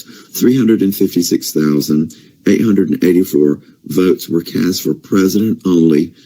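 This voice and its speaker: A man's voice: extremely low pitch, vocal fry, warm tone, rough recording. A man losing his voice, giving incredulous political commentary and listing vote counts with rhetorical questions. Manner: incredulous, rhetorical, lists numbers. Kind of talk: casual commentary.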